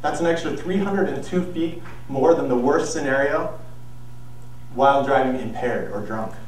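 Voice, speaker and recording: Young man speaking in an echoey hall. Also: medium pitch. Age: young adult. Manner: earnest, measured, with some restarts. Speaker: student speaker. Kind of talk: persuasive speech. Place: large auditorium stage. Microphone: far camera, no visible mic. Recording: very echoey hall, distant mic, faint noise.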